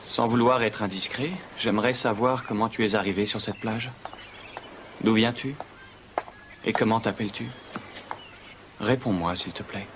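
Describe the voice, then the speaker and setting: A man voicing a kind young prince in a dubbed animated fairy tale, with a gentle, courteous and sincere manner. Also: on the low side, moderate pace, pauses often, background noise, lightly acted. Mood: gentle, curious.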